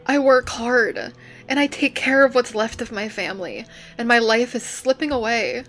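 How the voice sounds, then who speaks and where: A resentful young woman trapped in a small-town life, as an actress voices her in an audio drama. She speaks slowly, with a gravelly, creaky edge and a tearful, bitter tone. Faint music plays underneath. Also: on the low side, fairly even intonation, fairly bright tone, heavily acted. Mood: despairing. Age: young adult. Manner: resentful, tearful, uses like as filler. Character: young woman stuck running family hardware store, bitter. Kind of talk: dramatic dialogue.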